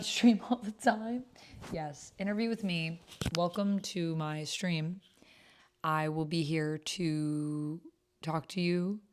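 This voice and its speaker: A woman's voice: on the low side, a little mellow. A woman hosting a livestream, chatting casually and informally with her viewers. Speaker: livestream host.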